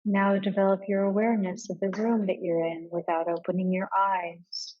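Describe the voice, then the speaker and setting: A woman leading a guided yoga nidra meditation in a calm, measured manner with pauses between instructions. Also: mid-range voice, lo-fi sound.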